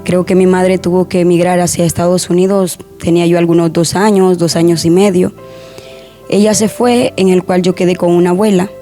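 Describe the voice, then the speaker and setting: A young woman, a guest softly and hesitantly sharing a personal testimony in an interview. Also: on the low side, little pitch movement, a little fast, faint music behind. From Honduras.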